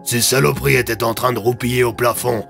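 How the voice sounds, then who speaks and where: A man playing a decisive army colonel in an audio drama, gruff and curt, giving slangy orders. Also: mid-range voice, fairly even intonation, fast talker, a bit bright, soft background music. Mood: matter-of-fact.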